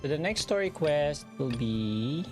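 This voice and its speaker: A streamer comments casually on a video game, reacting aloud and trailing off. The pitch is low-to-middle and moves a lot, the pace is slow, and game music is clearly heard behind. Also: animated.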